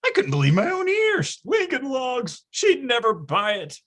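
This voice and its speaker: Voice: sits high, huge pitch swings, a little slow, a bit bright, full character voice. A performer playing a nostalgic, melodramatic storyteller, delivering wry and grandiose scripted comic narration. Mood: mock-solemn. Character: melodramatic, nostalgic storyteller recalling boyhood schemes.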